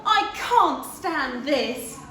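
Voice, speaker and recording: A worn-out storybook father bear, acted out by a woman, exasperated and groaning. The words are stretched very slowly in a high, swooping voice. The room around it echoes. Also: lively intonation, fairly bright tone, heavily acted. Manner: drawn-out, groaning complaint.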